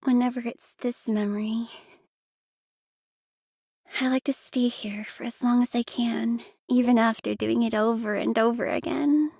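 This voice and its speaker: Timid little convent girl, game character, high voice, slow pace, soft, breathy, sweet, lonely. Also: fairly even intonation, no echo, studio quality, heavily acted. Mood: wistful. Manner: soft, breathy, wistful, childlike. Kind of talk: visual novel game voice acting. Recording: music behind the voice.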